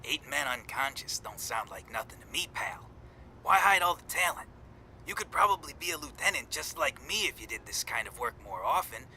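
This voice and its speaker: An actor in a radio drama playing a cocky, trigger-happy gangster lieutenant with a brash, slangy, nonchalant manner. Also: male voice, mid-range voice, lively intonation, moderate pace, pushed and bright, a little hiss or hum, rough recording. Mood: cocky, persuasive.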